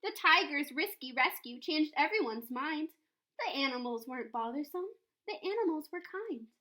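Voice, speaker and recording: A woman reading a rhyming children's picture book aloud in an expressive storytelling style. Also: sits high, moderate pace.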